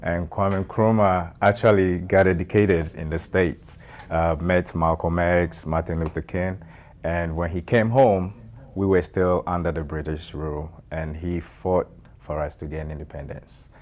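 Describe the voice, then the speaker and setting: A Ghanaian man answering interview questions in a measured way, with many fillers and restarts. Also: sits low, steady tone, a little mellow. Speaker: guest, nonprofit founder.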